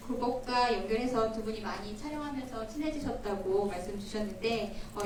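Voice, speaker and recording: A polite woman moderator runs the questions at a press screening, her voice slightly high. She sounds distant in an echoing cinema hall with noise behind her. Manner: polite, formal. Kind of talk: hosting a press Q&A. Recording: echoey hall, distant mic, noisy.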